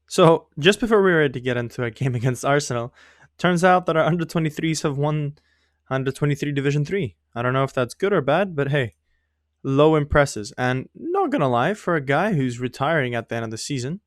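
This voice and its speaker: A man's voice: mid-range voice, dry recording, studio quality. A young man, not a native English speaker, giving rambling, self-mocking commentary on his own game, often laughing. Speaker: gaming YouTuber. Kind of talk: casual gameplay commentary.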